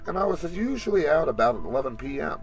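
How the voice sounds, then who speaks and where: A man's voice: on the high side, big pitch swings, quick pace, pitched above the performer's own voice. A man playing an ex-Marine comic shop worker, narrating a scripted horror story in a dramatic, suspenseful way. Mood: wistful, uneasy. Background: music.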